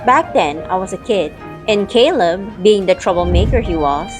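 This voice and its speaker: Female narrator, mid pitch, first-person audio drama with acted dialogue, music bed underneath. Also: animated, normal speed.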